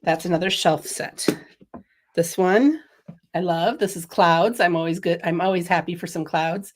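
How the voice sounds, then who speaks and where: Middle-aged woman, lowish voice, chatty craft host, slightly sniffly from a cold. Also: normal speed, a little hiss or hum. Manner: chatty, laughs often, reads viewer comments. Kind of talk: casual product demo chat.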